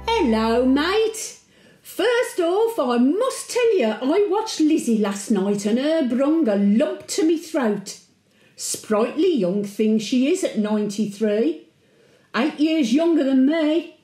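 An actress playing a chatty, sentimental Birmingham widow of over a hundred in a homely, confiding monologue. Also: female voice, high voice, expressive, slow, mellow, roomy sound. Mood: fond, chatty. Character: 101-year-old widowed Brummie great-grandmother, chatty, sentimental, plain-spoken. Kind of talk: dramatic monologue, intimate storytelling.

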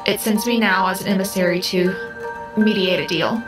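A calm, selfless female AI, voiced by a woman in an audio drama, precise and measured. Her pitch sits in the middle, over clear background music. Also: lightly acted, rough recording. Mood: grave, formal.

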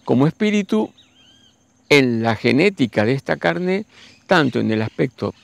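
A man, giving a slow, musing spiritual monologue and speaking straight to his listeners. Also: mid-range voice, very animated, creaky, no echo. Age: 60s. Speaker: spiritual teacher.

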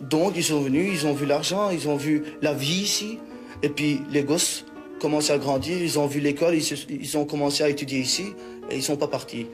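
A man with a slightly high voice talks in a relaxed, conversational way, with a few hesitations. Clear background music plays under his voice, as in a documentary reportage. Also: fairly quick. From Belgium. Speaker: interviewee. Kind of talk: documentary interview.

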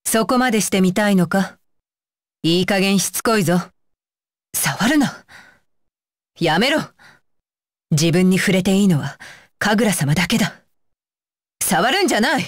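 A woman's voice: medium pitch, dry recording, clean studio sound, close to an everyday voice. A woman voicing a stern, dutiful young female ninja bodyguard for an anime game, with clipped, commanding and blunt phrasing. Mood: disgusted, stern. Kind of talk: Japanese anime game voice lines.

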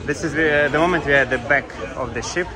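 A man giving a casual walking tour of a cruise ship on a handheld phone, speaking simple, halting English with fillers. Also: fairly high pitch, crowd noise. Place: outdoor decks and indoor restaurants of a cruise ship. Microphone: handheld phone camera. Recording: loud wind and ship noise. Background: loud wind and ship ambience.

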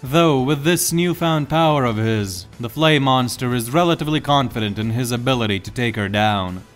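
A man's voice: mid-range voice, moderate pace, faint music behind. Male narrator of a dramatic story.

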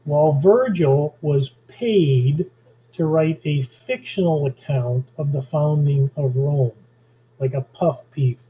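A man's voice: fairly high pitch, slight background noise. A man from Canada giving an online lecture on poetry and history, explaining at an easy pace with jokey asides. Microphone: video call. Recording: dull lo-fi video call audio.

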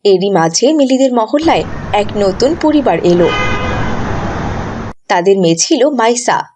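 A woman's voice: mid-range voice, animated, measured pace, noisy background. A woman giving scripted storybook narration for a cartoon.

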